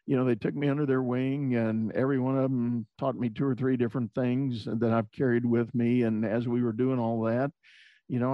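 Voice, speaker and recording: A man's voice: mid-range voice, dry recording. Man from texas, middle-aged, reflective guest, dull lo-fi sound, dry. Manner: reflective, frequent fillers and restarts. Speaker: podcast guest. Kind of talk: conversational interview.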